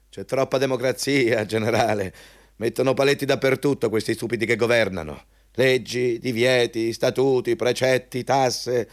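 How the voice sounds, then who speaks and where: A man's voice: mid-range voice, natural voice. An actor playing a pompous, overbearing male company boss in a radio drama, hectoring and self-important. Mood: ingratiating, griping. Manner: pompous, hectoring, switching between scolding and ingratiating. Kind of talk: Italian radio drama acting.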